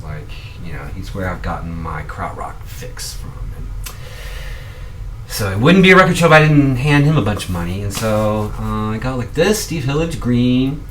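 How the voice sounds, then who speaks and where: A man's voice: fairly low pitch, a bit bright, distant mic. Chatty man rambling a little off-mic. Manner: rambling, chatty, with many ums and restarts. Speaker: vlog host showing records. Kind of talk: casual show-and-tell. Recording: voice a bit off-mic, faint background noise.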